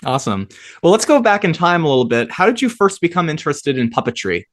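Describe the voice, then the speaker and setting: A man's voice: on the high side, big pitch swings, fast talker, no echo, studio quality. A man speaking casually as the host of an interview podcast.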